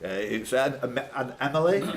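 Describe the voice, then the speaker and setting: A man's voice: medium pitch, sing-song, moderate pace. A man hosting a live pub quiz, reading out questions and chatting jokily with contestants. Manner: jokey banter with contestants; reads questions aloud, then chats off the cuff. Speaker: quiz host. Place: indoors at a pub quiz event. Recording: background noise in a pub room.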